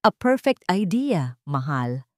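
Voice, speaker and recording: A woman's voice: sits low, big pitch swings, slow pace, darkish tone, no echo, studio quality, heavily acted. A woman with a Filipino accent voicing a gushing, sugary, two-faced young fiancée in a narrated love story. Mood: delighted. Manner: gushing, sweet.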